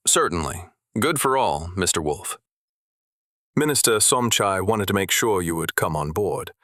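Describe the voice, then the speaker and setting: An actor playing an earnest, polite, businesslike go-between in a scripted mystery drama. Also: male voice, low voice, lively intonation, a little slow, a bit bright, dry recording, studio quality. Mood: agreeable. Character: Benny, earnest, businesslike male go-between and writer.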